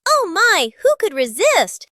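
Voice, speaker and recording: A woman's voice: very high-pitched, huge pitch swings, measured pace, bright, forceful tone, no room sound, studio quality. A woman reading scripted children's story narration in short, simple storybook sentences.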